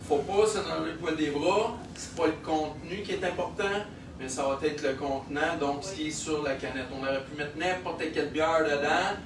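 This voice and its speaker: Québécois man, slightly high voice, animated event speech, echoey room, distant mic, noisy. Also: normal speed. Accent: Québécois French. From Quebec. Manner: animated, chuckling, many fillers. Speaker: microbrewery owner. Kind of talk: speech at a local launch event.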